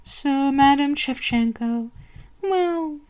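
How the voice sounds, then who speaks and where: A woman's voice: high-pitched, slow pace, darkish tone. A woman voicing a haughty, cruel and seductive vampire queen in an ASMR roleplay, savoring her commands and gloating. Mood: cruel, taunting.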